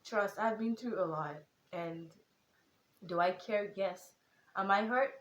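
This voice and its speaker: A woman's voice: medium pitch, takes it slowly, echoey room, muffled recording. A teenage girl from Nigeria with a Nigerian accent tinged with British and American, speaking earnestly and emphatically in a casual vlog. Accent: Nigerian, with some British and American. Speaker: content creator.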